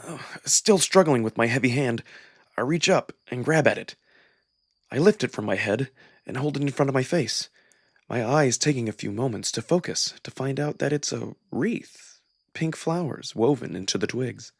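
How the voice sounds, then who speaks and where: A man narrating a first-person story dramatically, with expressive, measured phrasing. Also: medium pitch, vocal fry, no echo, studio quality. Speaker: narrator and performer of a visual novel.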